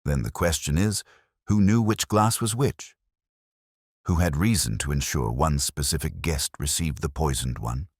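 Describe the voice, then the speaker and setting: A man voicing a sharp, quietly commanding male detective with a precise, probing manner, in an audiobook mystery story. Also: very deep voice, measured pace, slightly rough, dry recording, clean studio sound, heavily acted. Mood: deliberate.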